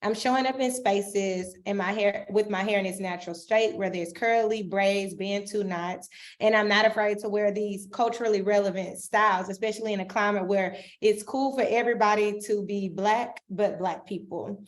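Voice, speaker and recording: A woman's voice: medium pitch, fairly even intonation, moderate pace. A woman doctoral student giving emphatic panel remarks, often tagging points with "right?". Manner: emphatic, rhetorical, repeats "right?".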